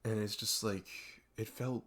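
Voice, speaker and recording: A young man playing a best friend who opens up in an intimate ASMR deep talk, rambling and halting, earnest and reflective. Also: fairly even intonation, a bit bright. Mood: earnest, musing. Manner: rambling, halting, reflective, frequent "like" and "you know". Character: earnest male best friend opening up late at night. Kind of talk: intimate ASMR audio roleplay, unscripted-sounding deep talk.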